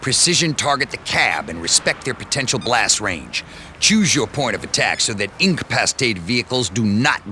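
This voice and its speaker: A man's voice: mid-range voice, background noise, lightly acted. A man playing a stern military commander. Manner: clipped, commanding briefing delivery. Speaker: mission commander giving briefings. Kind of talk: game cutscene voice acting. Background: loud music.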